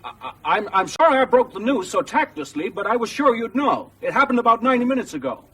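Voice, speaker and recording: A man's voice: very high-pitched, sing-song, fast talker, sharp tone, far from the mic, slight background noise, full character voice, low-quality recording. A man acting in a radio drama as a pushy American current affairs presenter, formal and insistent on air. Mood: awkward, probing.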